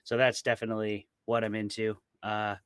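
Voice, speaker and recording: A man's voice: on the low side, little pitch movement, fairly quick, smooth, no room sound, clean studio sound. A man from Montana with an American accent, chatting casually and with self-deprecating humor as an interview guest. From small town in Montana, United States. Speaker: interview guest, horror writer. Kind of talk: casual conversational interview answers.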